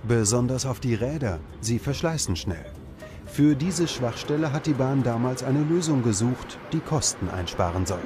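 A man narrates a documentary from a script, with a medium-pitched voice. His delivery is measured and dramatic, and music plays clearly behind him. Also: animated. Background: music bed.

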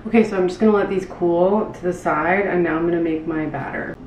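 A woman in her thirties chatting casually and haltingly as she demonstrates cooking, recorded in a kitchen by a camera a few feet away. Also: fairly low pitch, fairly even intonation, lo-fi sound. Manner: loose, halting chat with frequent "like". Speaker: cooking show host. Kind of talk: casual cooking demonstration, talking while working. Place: small indoor kitchen with tiled floor. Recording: roomy, distant mic with loud room noise.

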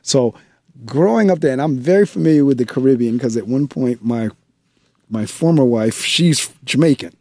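Man, radio host, casual and chatty, mellow voice, dry studio, talks to listeners. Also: medium pitch, lively intonation, moderate pace, no room sound. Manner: chatty, conversational, addresses listeners directly. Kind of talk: radio interview.

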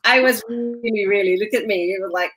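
A woman's voice: mid-range voice, fairly quick, a little hiss or hum. Middle-aged woman from Singapore on a video call. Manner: animated, laughing, acts out quoted dialogue. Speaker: guest, personal stylist. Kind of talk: casual interview chat over video call. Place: home room with shelves, indoors.

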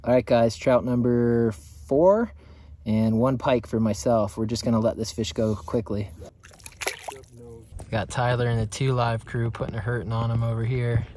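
A man's voice: mid-range voice, fairly even intonation, moderate pace, noisy background. A man hosting a fishing video, giving upbeat play-by-play from a boat on a lake, recorded on an action camera. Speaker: fishing channel host. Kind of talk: casual fishing commentary.

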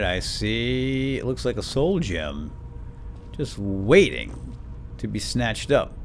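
A man giving playful, lightly role-played let's play commentary, chatting to his in-game companion. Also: fairly low pitch.

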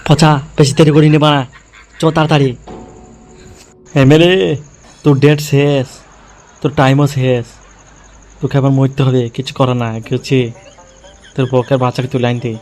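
A man's voice: medium pitch, little pitch movement, moderate pace, long pauses, a little mellow. A man voicing a foul-mouthed, vengeful gang schemer in a comedy cartoon, with crude, threatening delivery. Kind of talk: comedy cartoon character dialogue. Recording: music behind the voice.

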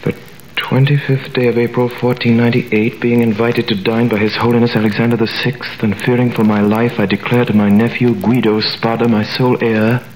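A man's voice: mid-range voice, little pitch movement, brisk, natural voice, low-quality recording. An actor playing a young prisoner who narrates his story in a 1930s radio drama, with earnest, dramatic delivery. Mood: amazed, earnest. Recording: old radio recording with faint hiss.

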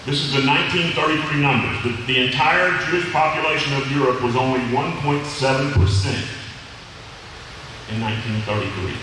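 An older man, a retired history teacher, giving an earnest history lecture at a podium with a loud voice, many fillers and restarts. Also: mid-range voice, normal speed. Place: large hall with a seated audience, in doors. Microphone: podium microphone, filmed by a far camera. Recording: echoey hall from far off, audible room noise.

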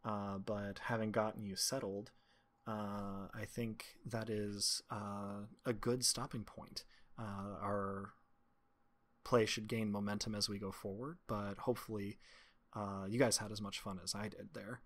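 Low-pitched man running a tabletop game. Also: flat delivery, a little slow. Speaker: game master and podcast host. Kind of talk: tabletop game narration and podcast hosting.

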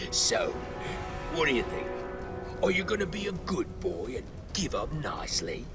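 An actor playing a sneering, gloating crime boss in a game cutscene, mocking and showy. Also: male voice, a little high, huge pitch swings, a little slow, long pauses, over loud music. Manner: mocking, showy, laughing menace. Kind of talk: video game cutscene acting.

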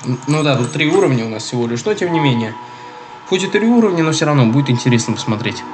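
A man's voice: medium pitch, sing-song, fast, music in the background. A young man with a cold and a nasal, stuffy voice, chatting casually through a game and reading its text aloud. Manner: chatty, rambling, self-correcting. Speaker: gaming video host.

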